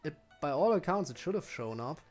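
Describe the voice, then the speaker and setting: A man's voice: a little high, animated, fairly quick, darkish tone, soft background music. A man giving casual, rambling live game commentary, musing aloud to his viewers. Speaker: game streamer.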